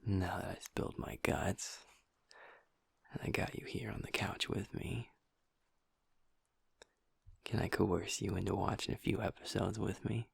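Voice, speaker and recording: A man voicing a happy-go-lucky young male werewolf boyfriend in an intimate audio roleplay, talking softly and earnestly to his partner. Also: low voice, steady tone, measured pace, pauses often, dry recording, heavily acted. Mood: tender, affectionate. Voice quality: soft, breathy, half-whispered. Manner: earnest, affectionate, talks to the listener as partner.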